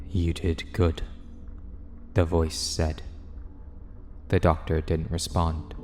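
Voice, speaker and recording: A man's voice: low voice, long reverb tail. A man narrating a scripted dramatic story for an audio drama.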